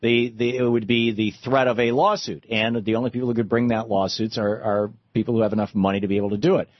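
A man's voice: lowish voice, flat delivery, fast talker, no echo. A man hosting a call-in radio talk show, agreeing with callers and thinking aloud with restarts. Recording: muffled radio broadcast.

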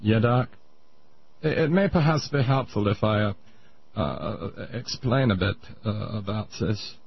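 A man voicing a pompous old male Viennese psychoanalyst, lecturing in a smug, drawn-out way. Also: lowish voice, expressive, gravelly, breathy edge, muffled recording, pitched below the performer's own voice, heavily acted.

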